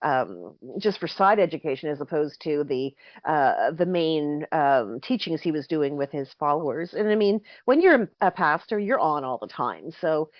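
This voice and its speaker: Slightly hoarse woman explaining at length. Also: deep voice, animated, normal speed, hardly any pauses, no room sound, highs cut off. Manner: explains at length, thinking aloud with frequent self-corrections. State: a little hoarse. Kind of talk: casual explanatory chat.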